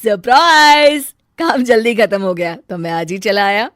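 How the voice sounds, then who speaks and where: A woman voicing an earnest, excitable young husband in a cartoon story. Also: mid-range voice, moderate pace, dry recording, clean studio sound, lightly acted. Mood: cheerful. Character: earnest, trusting young husband, easily alarmed. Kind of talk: Hindi cartoon story dialogue.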